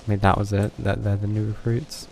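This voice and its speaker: A man's voice: lowish voice, little pitch movement, brisk, vocal fry, darkish tone. A man giving casual live game commentary in dry, muttered asides. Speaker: game streamer. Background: game music.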